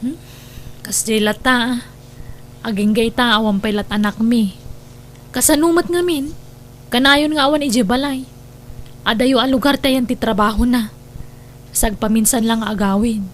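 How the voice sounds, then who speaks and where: A woman's voice: on the high side, normal speed, pauses often, slight background noise, close to an everyday voice. A woman with an Ilocano accent playing a lonely, resentful young wife in an audio drama, plaintive and evasive. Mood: wistful.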